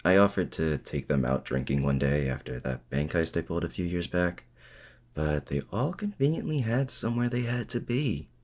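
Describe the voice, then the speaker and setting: A man playing a feared but self-doubting supervillain, confiding casually and haltingly in a heroic rival in an intimate ASMR roleplay. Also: animated, darkish tone. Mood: wry, confiding. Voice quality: very deep, gravelly. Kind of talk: intimate ASMR roleplay audio drama, addressing the listener.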